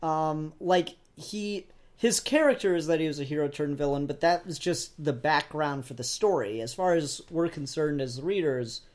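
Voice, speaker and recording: A man's voice: on the high side, moderate pace, hardly any pauses. A man taking part in a casual discussion as a panelist, talking in a tentative, rambling way. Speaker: podcast panelist.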